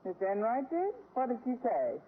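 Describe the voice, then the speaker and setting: A woman's voice: a little high, wildly swooping pitch, normal speed, husky, exaggerated voice. An actress playing a sardonic woman schoolteacher with dry, wisecracking delivery in an old radio sitcom. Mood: dry, sarcastic. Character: sardonic, lovelorn woman schoolteacher with quick comebacks. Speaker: lead actress and narrator. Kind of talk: 1940s-50s radio sitcom acting. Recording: tinny, very noisy vintage radio recording.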